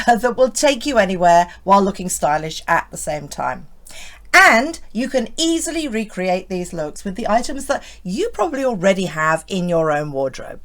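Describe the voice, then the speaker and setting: A woman in her fifties with a hoarse voice, presenting a friendly style vlog and talking straight to her viewers. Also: medium pitch. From United Kingdom. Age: 50s. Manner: chatty, reassuring, often asks the viewer "isn't it". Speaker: style vlogger. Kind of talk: friendly scripted fashion vlog.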